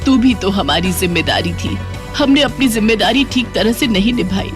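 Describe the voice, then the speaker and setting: A woman voicing a remorseful, grateful older mother-in-law in an animated moral story, speaking with open emotion. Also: medium pitch, moderate pace, close to an everyday voice. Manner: apologetic, emotional. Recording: music playing behind the voice.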